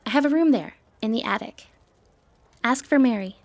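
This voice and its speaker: A woman's voice: on the high side, animated, moderate pace, no echo. A woman acting a sly, flirtatious thief in a fantasy ASMR roleplay, with a teasing, confident, wry way of talking.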